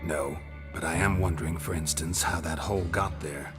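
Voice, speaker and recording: An actor playing a stoic, seasoned monster hunter in a video game, terse and deadpan. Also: male voice, sits low, moderate pace, big-room sound, lightly acted. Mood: dry, probing. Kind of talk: video game character acting. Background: music.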